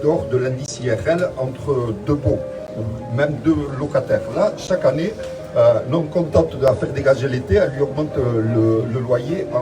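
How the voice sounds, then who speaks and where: A man's voice: mid-range voice, low-quality recording. Hesitant man giving a mock-solemn tour. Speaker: mock exhibition guide. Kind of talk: tongue-in-cheek guided tour. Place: outdoors in front of a museum.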